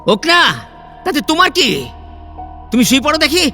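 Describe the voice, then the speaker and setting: Proud broke wanderer guy, jumpy and stammery, high sharp voice, radio play. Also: expressive, brisk, exaggerated voice. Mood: wary. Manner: hesitant, stammering, defensive. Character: penniless, wary, proud male drifter soaked by rain. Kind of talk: Bengali audio play acting. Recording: faint background score behind the voice. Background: faint music.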